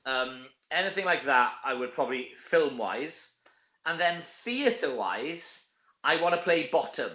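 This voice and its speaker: A man's voice: mid-range voice, animated, a little slow, pushed and bright, reverberant, muffled recording. A middle-aged Welsh man, an actor chatting casually as an interview guest, informal and full of tag questions. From Wales.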